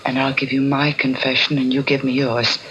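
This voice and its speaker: An actress playing a scheming, intense murderous wife in a 1940s radio melodrama. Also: female voice, rumbling low, level tone, brisk, a bit bright, muffled recording. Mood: coaxing, insistent. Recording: noisy 1940s radio recording.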